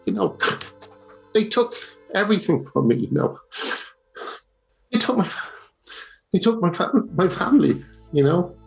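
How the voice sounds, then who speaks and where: Woman doing a creaky, whiny, blubbering sad sack. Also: on the low side, sing-song, a little slow, pauses often, darkish tone, faint music behind, pitched above the performer's own voice, full character voice. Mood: tearful self-pity. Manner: stammering, whiny, repetitive. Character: blubbering, self-pitying public figure in mocking impression.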